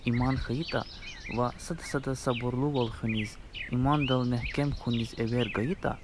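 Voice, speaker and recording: A man's voice: medium pitch, fairly even intonation, normal speed, close to an everyday voice. A man voicing an earnest, respectful pupil in a dubbed cartoon. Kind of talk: cartoon dub acting. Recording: clear background noise with birdsong.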